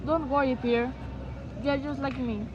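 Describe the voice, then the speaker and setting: Woman acting earnest wartime woman, high dull-sounding voice. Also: steady tone, moderate pace, noisy background, lightly acted. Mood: earnest, concerned. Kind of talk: amateur stage dramatic reading.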